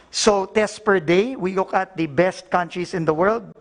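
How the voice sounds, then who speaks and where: Filipino guy reporting to the boss, slightly higher voice, code-switching, lots of "no?". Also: measured pace. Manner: mixes English and Filipino, polite po, frequent "no?" tags. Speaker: government official reporting at briefing. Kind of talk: formal briefing report.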